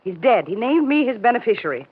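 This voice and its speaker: A woman's voice: fairly high pitch, big pitch swings, fast, breathy edge. An actress playing an impatient, bad-mannered woman in her thirties in an old American radio drama. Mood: impatient, bitter. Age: 30s. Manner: curt, demanding. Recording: tinny, narrow old radio recording.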